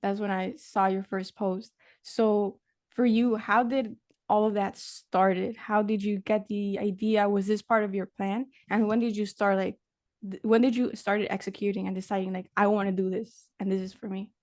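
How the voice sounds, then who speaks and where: A woman's voice: mid-range voice, flat delivery, moderate pace, darkish tone, no room sound, clean studio sound. A young woman from Mexico hosting a podcast interview in a casual, rambling way, with many fillers and restarts. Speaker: podcast host.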